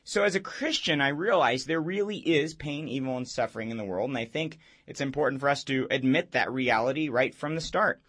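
Raspy-voiced man hosting a faith talk. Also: mid-range voice, animated, a little fast, hardly any pauses, a bit bright. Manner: earnest, explanatory monologue. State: hoarse from a cold. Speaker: radio show host.

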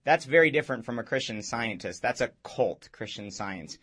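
Raspy-voiced man hosting a faith talk. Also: mid-range voice, animated, moderate pace, a bit bright. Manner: earnest, explanatory monologue. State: hoarse from a cold. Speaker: radio show host.

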